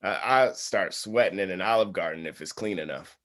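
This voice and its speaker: An actor playing an awkward, self-deprecating, good-natured young groom in a comedy table read, casual and dry. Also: male voice, low-pitched, a little fast, a bit bright.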